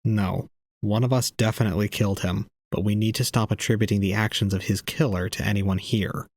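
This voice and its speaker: Low-voiced cold young man, acted by a man, gravelly and flat, deliberate audio drama fatalist. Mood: coldly logical. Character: cold young man preaching despair with detached logic.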